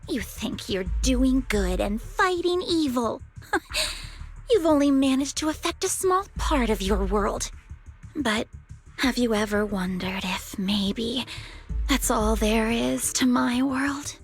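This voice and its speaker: Slow, bitter, grieving young woman. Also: on the high side, lively intonation. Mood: scornful, pained. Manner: slow, deliberate, wounded and resentful. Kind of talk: dramatic video game voice acting. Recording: music behind the voice.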